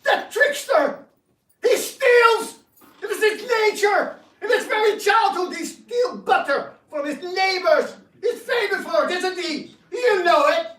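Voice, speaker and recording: Arrogant young-blooded prince, acted by a man, high, taunting, indignant; amateur stage drama, roomy and distant pickup. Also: lively intonation, a little slow, bright, forceful tone, exaggerated voice. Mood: furious. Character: arrogant, hot-tempered prince, a sore and taunting gambler. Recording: roomy, distant live recording, faint noise.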